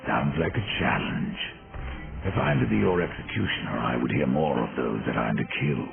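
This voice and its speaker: A man voicing a grim, terse male horseman in a game cutscene, with a gravelly voice and a dry, menacing way of asking questions. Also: a bass voice, very animated, moderate pace, heavily acted. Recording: tinny lo-fi game audio with music.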